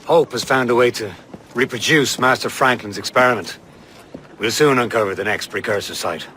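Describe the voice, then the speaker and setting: A businesslike conspirator played by a man with a bright, forceful voice of medium pitch. He reports formally and with assurance in this game scene. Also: normal speed, slight background noise, close to an everyday voice. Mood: assured. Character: crisp, businesslike male member of secret order. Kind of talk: dramatic video game acting.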